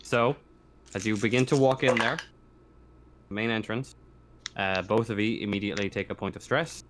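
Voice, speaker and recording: A man's voice: fairly low pitch, moderate pace. A man improvising narration as game master of a tabletop horror roleplaying game. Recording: clear background noise with clicks.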